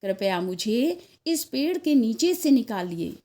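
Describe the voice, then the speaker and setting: Trapped male poet, read by a woman, pleading, halting, slow, high-pitched, storybook satire. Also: very animated, warm tone. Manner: halting, groaning plea. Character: suffering male poet pinned under a tree, pleading.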